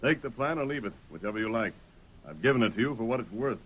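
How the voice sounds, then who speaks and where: An actor playing a masked western hero with an American accent, resolute and commanding, in a 1930s radio western. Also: male voice, medium pitch, lively intonation, fast talker, a bit bright, breathy edge. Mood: firm, urgent. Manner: firm, earnest, clipped, authoritative. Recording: noisy 1930s radio recording.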